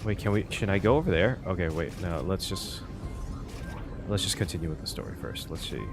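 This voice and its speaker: A man streaming his reaction to a story game, excitable and thinking aloud, exclaiming and swearing. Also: sits low, a little fast. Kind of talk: live game reaction commentary. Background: game music.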